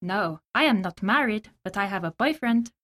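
A woman's voice: medium pitch, animated, normal speed, a bit bright. A woman reading simple scripted sentences and dialogue answers for a language lesson.

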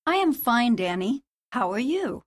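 Female dialogue reader for language course, fairly high pitch, animated intonation, short scripted lines. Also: measured pace.

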